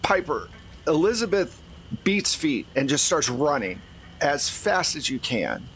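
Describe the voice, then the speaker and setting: A man's voice: a little high, big pitch swings, measured pace, fairly bright tone, slight background noise. A man running a tabletop roleplaying game, narrating and explaining in a conversational, improvised way. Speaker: game master.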